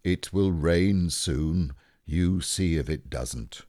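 A man's voice: deep voice, takes it slowly, warm tone, dry recording, studio quality. A man voicing a gloomy, self-important donkey who speaks in a pompous, stern, ponderous manner.

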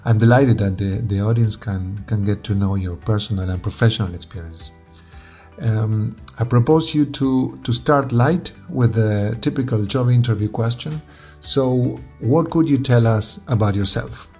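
Measured, formal interviewer over music. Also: medium pitch, mellow. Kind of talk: prepared interview presentation and questions.

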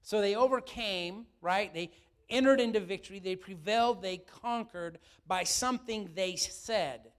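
A middle-aged male pastor preaching a sermon, his voice a little raspy from yelling, often asking the room questions. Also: high voice, unhurried.

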